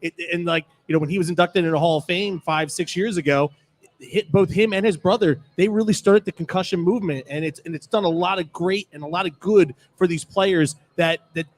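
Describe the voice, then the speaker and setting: A man's voice: high voice, fairly quick. A man on a live sports talk panel, talking in an explanatory way.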